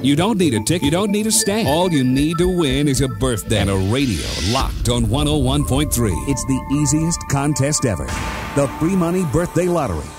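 A man's voice: medium pitch, lively intonation, fairly quick. A man reading scripted promos as the announcer of a Top 40 radio station. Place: radio studio. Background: loud music bed.

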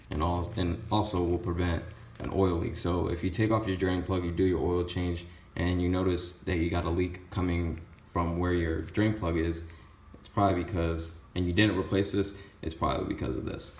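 A man giving a casual step-by-step how-to while working on a car in a repair shop garage. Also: sits low. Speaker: auto mechanic. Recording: echoey garage with faint noise.

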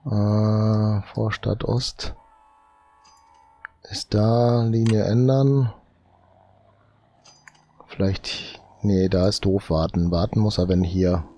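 A man with a cold, thinking aloud slowly as he comments on his own gameplay, often tagging lines with "ne?". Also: lowish voice, steady tone, dark tone. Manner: muttering, drawn-out, tags lines with "ne?". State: has a cold. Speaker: let's play host.

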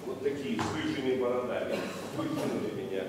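An actor playing a polite, formal, dispossessed former gentleman who speaks primly. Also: mid-range voice, a little fast, lightly acted. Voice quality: prim, formal. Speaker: stage actor. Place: large theatre stage. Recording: noisy echoey theatre from far off.

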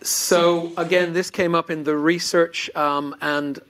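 A man's voice: fairly high pitch, little pitch movement, slow pace, a bit bright. A man in his fifties hosting a fireside chat on a conference stage, earnest and repeating words when he is indignant. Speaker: panel host. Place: conference stage before an audience. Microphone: lapel mic.

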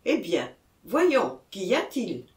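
Audiobook part, read by a man: cheerful village doctor, casual teasing questions, animated intonation, roomy sound. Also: high-pitched, measured pace, pitched above the performer's own voice. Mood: jovial teasing. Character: jovial, breezy male village doctor.

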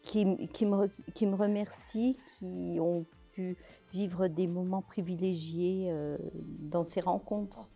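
A woman in her sixties answering interview questions, slowly and hesitantly, with many fillers and restarts, seated in a large bare indoor hall. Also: lowish voice, fairly even intonation, gravelly, mellow, soft background music. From France, Pays de Gex. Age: 60s. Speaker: interviewee, literary event organizer.

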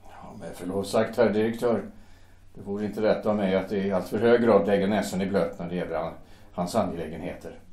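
Anxious, deferential foreman, played by a man, low voice, roomy echo. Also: darkish tone, lightly acted. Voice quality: deferential, hesitant. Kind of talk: audio drama acting.

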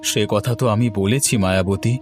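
A man acts out a cartoon king, a father who is anxious yet commanding. His delivery is dramatic and emphatic, in a fairly low voice. Faint music plays underneath. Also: a little fast, natural voice. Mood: stern. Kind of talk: Bengali fairy-tale cartoon dub acting.

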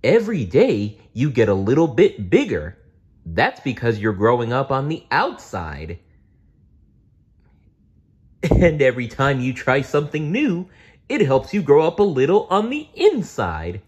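A man's voice: huge pitch swings, a little mellow, husky, exaggerated voice. A man putting on a giggly purple dinosaur. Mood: cheerful. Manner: high, sing-song, slow, giggly. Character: cheerful big purple dinosaur, male, gentle, giggly. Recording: dull lo-fi recording.

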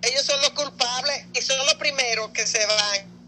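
A man chiming in on a call, backing the host with quick agreement. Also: very high-pitched, pushed and bright, low-quality recording.

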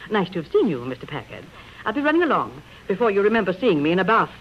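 An actress as a faded grande dame of the stage in an old radio play. Her delivery swings widely in pitch, wry and grandly theatrical. The recording is muffled, with clear background noise. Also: sing-song, fast talker, lightly acted. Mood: breezy teasing. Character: aging faded stage star, witty, grand, theatrical lady. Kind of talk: 1930s radio acting. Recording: dull, noisy 1930s radio broadcast.

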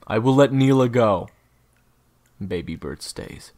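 A man playing a cold, defiant assassin gang leader in an audio roleplay drama, with a husky, gravelly voice. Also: mid-range voice, expressive, slow pace, a little mellow.

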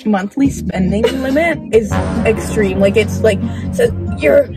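A woman's voice: mid-range voice, expressive, measured pace. A young woman vlogger chatting casually with friends in a parked car, trailing off with lots of fillers. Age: young adult. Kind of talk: casual chat. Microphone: phone camera on dashboard. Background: background music.

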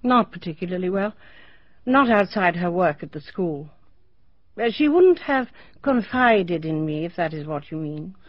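An actress playing a formal older headmistress, measured and precise, in a radio drama. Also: female voice, on the low side, lively intonation, no room sound. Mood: reserved, helpful. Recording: dry but dull radio drama recording.

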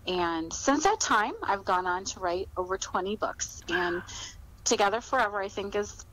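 A woman's voice: medium pitch, lively intonation, normal speed, a bit bright, poor audio. A middle-aged woman answering interview questions conversationally, in a reflective, encouraging manner. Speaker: author, podcast guest.

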